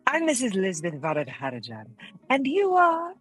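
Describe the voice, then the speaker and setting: A woman's voice: medium pitch, wildly swooping pitch, moderate pace, husky, no room sound, soft background music. A woman playing a refined, disapproving spy in disguise as a noblewoman in a fantasy audio drama, speaking with formal, hesitant poise. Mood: polite, guarded.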